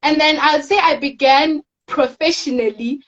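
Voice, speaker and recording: Teen girl guest, high voice, soft-spoken, muffled lo-fi audio. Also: normal speed. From South Africa. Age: teens. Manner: soft-spoken, rambling storytelling with fillers. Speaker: guest, teen pageant winner. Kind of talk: casual interview chat.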